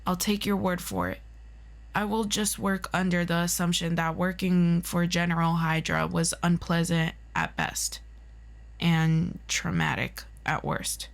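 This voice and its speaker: A woman's voice: on the low side, fairly even intonation, a little slow, gravelly. A woman voicing a composed, authoritative interrogator from a hero agency council, formal and dry, in an audio roleplay. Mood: cool, probing.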